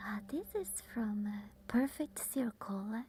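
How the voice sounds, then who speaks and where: A woman with an Italian accent, softly whispering while chatting with viewers on an ASMR live stream. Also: on the high side, animated, slow pace, a little hiss or hum. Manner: soft whispering.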